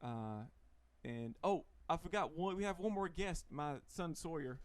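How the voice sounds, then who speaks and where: Chatty Texan man, slightly high voice. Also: a little slow, clear, resonant tone, no room sound. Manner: relaxed, chatty, laughs often, many fillers. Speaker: host. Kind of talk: casual livestream chat.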